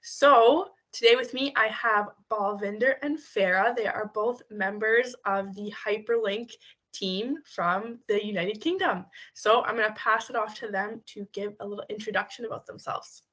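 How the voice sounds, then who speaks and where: A woman's voice: medium pitch, fairly even intonation, normal speed, fairly bright tone. A woman hosting a podcast, asking guests questions in an upbeat, casual way. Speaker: podcast host.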